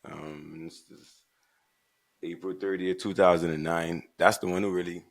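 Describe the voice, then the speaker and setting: A man from the Bahamas with a Bahamian accent, co-hosting a podcast and telling his story in a relaxed, laid-back way. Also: low-pitched, normal speed. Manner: relaxed, laid-back storytelling with frequent "right" and "you know". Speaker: podcast co-host. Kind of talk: casual chat.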